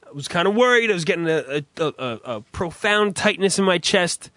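Guy with a slightly raspy higher voice, swearing a lot, dry close mic. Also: lively intonation, a little fast, fairly bright tone, no room sound. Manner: profane, rambling storyteller. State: raspy from a cough. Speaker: podcast host. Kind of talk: crude comedic podcast chat.

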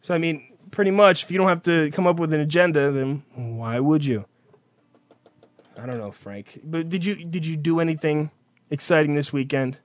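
A man's voice: fairly high pitch, normal speed, no echo. A man co-hosting a casual talk show, teasing and crude in his banter. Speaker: podcast co-host.

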